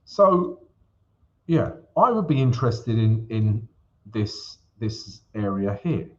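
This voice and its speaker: A man's voice: lowish voice, sing-song, takes it slowly, darkish tone. A man hosting a live trading stream, chatty and casual, checking in with the audience as he walks through charts. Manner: chatty, peppers lines with "yeah?" and "guys". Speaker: forex livestream host. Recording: slightly dull livestream audio.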